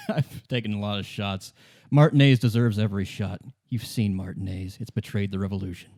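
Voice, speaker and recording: A man acts out an aged deserter and former commissar in a radio play. His voice is low and very mellow, with little edge. He is bitter and worn down, yet grimly insistent and defiant. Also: steady tone, natural voice. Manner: halting, repeating himself, grimly insistent.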